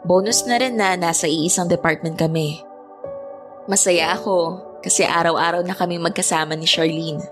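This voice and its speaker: A woman's voice: fairly low pitch, steady tone, normal speed, music in the background, lightly acted. A woman with a Filipino accent acting a reflective young woman who narrates her own story in an audio drama. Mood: reflective, fond.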